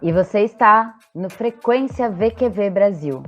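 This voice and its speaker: A Brazilian woman in her thirties co-hosts a podcast from a script. Her pitch is medium, the recording a bit dull, with faint music underneath. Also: lively intonation, a little slow, a bit bright. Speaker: podcast co-host. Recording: slightly dull lo-fi audio.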